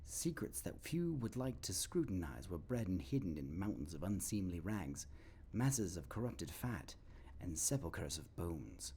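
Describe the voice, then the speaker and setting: A man narrating an audio play as a dramatic storyteller, with ominous, deliberate phrasing. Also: low voice, big pitch swings, mellow, slight background noise.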